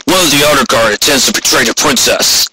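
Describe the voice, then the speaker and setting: A man's voice: mid-range voice, fairly quick, natural voice. Man playing a stern old pony commander, noisy. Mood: grave. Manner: authoritative, terse. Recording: clearly noisy amateur recording with clicks.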